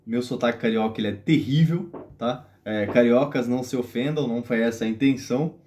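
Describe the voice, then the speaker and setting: Man from Brazil, video host, teasing, joking, medium pitch. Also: a little fast, mellow. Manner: joking, teasing, full of fillers and restarts. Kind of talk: casual explainer vlog.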